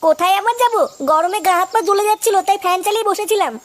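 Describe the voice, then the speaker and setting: A woman's voice: very high voice, racing. A woman voicing a testy older housewife who snaps back, in a comedy cartoon. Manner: snappy, answering back.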